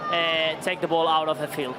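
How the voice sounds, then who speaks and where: A man's voice: on the high side, level tone, moderate pace, a crowd in the background. A man giving live football reports and analysis in an explanatory, hesitant way with non-native phrasing. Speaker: match reporter on a live show. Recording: clear background noise.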